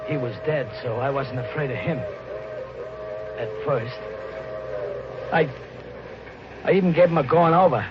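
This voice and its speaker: An actor playing a desperate, guilt-haunted drifter in a 1950s radio drama, narrating in a tense, halting, colloquial American voice. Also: male voice, mid-range voice, noisy background, natural voice. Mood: grim, brooding. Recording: muffled 1950s radio recording, music behind.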